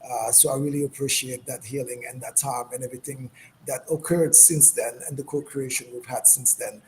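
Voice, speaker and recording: A man from Senegal speaking as a guest healer in a reflective interview, with halting, thoughtful phrasing. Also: mid-range voice, steady tone. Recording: clearly noisy background.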